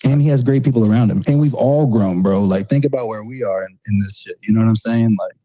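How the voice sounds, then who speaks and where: A man with a husky, very dark voice talks in eager bursts, peppering everything with "you know what I mean." The recording is dry and close, with a lowish pitch. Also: quick pace, no room sound, poor audio. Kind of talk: casual podcast chat.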